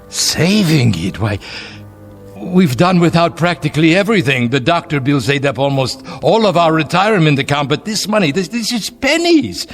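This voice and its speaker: An actor playing a devoted, anxious old husband in a radio drama, speaking hesitantly and repeating himself. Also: male voice, a little high. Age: older.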